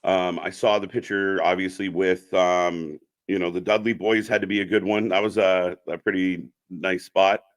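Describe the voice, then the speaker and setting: A man's voice: low-pitched, steady tone, normal speed, few pauses. A man hosting an informal interview in casual chat style, often repeating short affirmations. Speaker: host of a wrestling fan channel.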